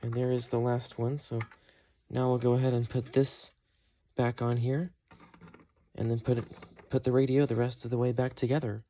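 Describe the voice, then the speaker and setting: A man with an average-pitched voice hosts a hands-on tutorial. He talks casually and thinks aloud as he works, explaining each step in an unscripted way. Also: little pitch movement, normal speed, warm tone, a little hiss or hum. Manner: thinks aloud while working. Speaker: host of a repair tutorial.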